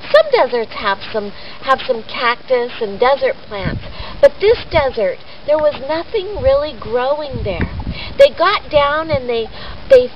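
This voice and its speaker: A woman with a high voice retells a story for children in simple, explanatory language. The recording is dull, with clear background noise. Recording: noisy, echoey, dull amateur recording.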